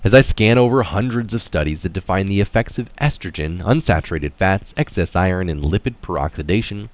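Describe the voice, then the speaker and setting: A man's voice: low-pitched, no room sound. A man reading an article aloud in narration style, dropping in casual, opinionated asides of his own. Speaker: reader of an article adding his own comments.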